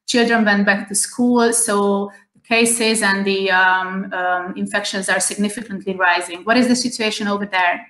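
A woman's voice: medium pitch, fairly even intonation, normal speed, hardly any pauses, fairly bright tone. A woman, hosting an online interview over a video call and speaking carefully with many fillers and restarts. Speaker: host. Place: home room, plain wall. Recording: echoey room on a video call.

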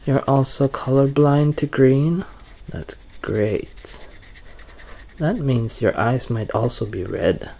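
Slow, soft-spoken young man doing ASMR. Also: mid-range voice, gravelly. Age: young adult. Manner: hesitant, halting, drifting into whispers. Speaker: ASMR performer playing a bumbling doctor. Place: small curtained room at home, indoors.

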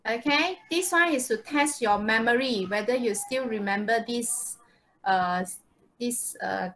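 High-voiced Malaysian woman teaching online. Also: fairly bright tone, rough recording. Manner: informal, checks with "okay" and "right?". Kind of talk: live online English lesson. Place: online video call, presenting slides.

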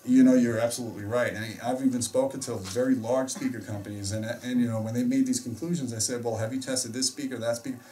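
A man's voice: mid-range voice, fairly even intonation, fast, few pauses, mellow. A middle-aged American man explaining things casually as a host, in an emphatic, opinionated way. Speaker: host and audio reviewer. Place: small indoor home theater room. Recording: distant mic in a room.

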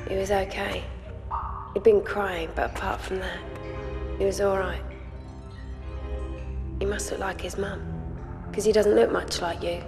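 An actress playing a cool, self-reproaching young thief in a video game, dry and downbeat, with a British accent. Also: female voice, mid-range voice, steady tone, a little slow, gravelly, long pauses, over music. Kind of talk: video game cutscene acting. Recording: long echoing reverb.

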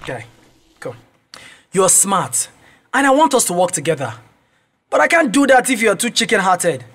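A man's voice: sits high, very animated, normal speed, a bit bright, full character voice. A man with a Nigerian accent playing a cocky, taunting young campus bully in a radio drama. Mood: dismissive.

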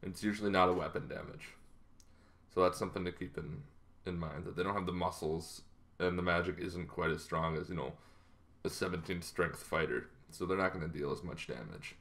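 A man in his thirties hosting a casual explanatory gaming vlog, talking in an informal, halting, conversational way. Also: deep voice, fairly even intonation, fairly bright tone. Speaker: tabletop gaming video host. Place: small bedroom at home, indoors.

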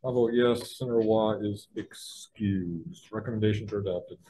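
A man calling the roll and reading vote results in a flat, procedural way at a legislative hearing. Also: on the low side, moderate pace, background noise. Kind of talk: formal roll call.

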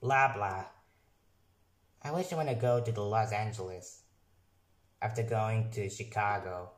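A young man, not a native English speaker, talks slowly over a screen recording and stumbles through passages he reads aloud, often backing up to fix a word. His voice sits fairly low. The sound carries a clear echo from the room around him. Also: a little hiss or hum. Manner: reads haltingly with restarts and corrections. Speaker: software reviewer. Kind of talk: casual screen-recorded review, reading aloud. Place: room at a computer.

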